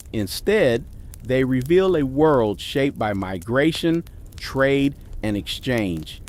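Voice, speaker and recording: Male documentary narrator, animated pitch, measured and emphatic. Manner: measured, emphatic list delivery.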